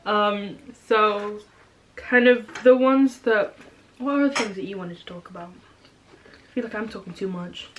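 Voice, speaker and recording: A woman's voice: medium pitch, measured pace, slight background noise. Rambling young woman. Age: young adult. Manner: rambling, many "um", "like", "kind of" fillers. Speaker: vlogger, older sister. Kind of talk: casual chat while eating.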